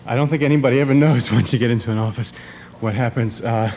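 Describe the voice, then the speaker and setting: A man out of breath, giving halting, thoughtful answers as a guest in a conversational interview. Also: mid-range voice, a little mellow. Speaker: guest, mime performer. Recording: noisy recording with hum.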